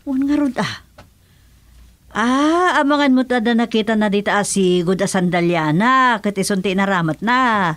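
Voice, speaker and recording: A woman's voice: mid-range voice, moderate pace, no echo, close to an everyday voice. A woman playing a curious neighbour in a radio drama, questioning and exclaiming as she talks. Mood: suspicious.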